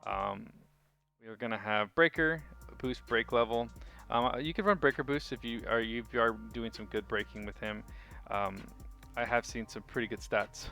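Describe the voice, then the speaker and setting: A man's voice: mid-range voice, moderate pace, vocal fry, bright, forceful tone, faint music behind. A man giving a casual game guide commentary as a video host, chatty and informal, thinking aloud as he goes.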